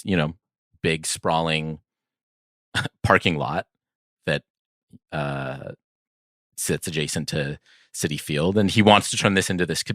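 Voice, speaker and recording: A man's voice: a bass voice, a bit bright, dry recording, clean studio sound. A man co-hosting a podcast, chatting casually about sports in a thoughtful, conversational, slightly hedging way. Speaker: podcast co-host.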